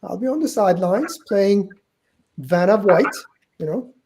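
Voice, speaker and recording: A man's voice: high voice, animated, unhurried, darkish tone, slight background noise. A middle-aged man with a Turkish accent hosting a live book-sales stream, chatty and joking, correcting himself as he goes. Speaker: show host and company founder. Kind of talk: live-stream show introduction.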